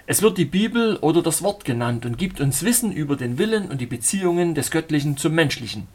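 A man reading an audiobook voices a fanatical male preacher who rants in a husky, declamatory way. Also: a little high, expressive, a little fast, pitched above the performer's own voice. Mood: fervent ranting. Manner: shouting, declamatory.

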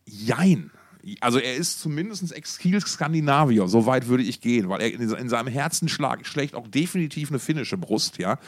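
A man's voice: medium pitch, lively intonation, moderate pace. A man co-hosting a casual podcast, telling anecdotes in a rambling, wry way with mock-formal asides. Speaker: podcast co-host.

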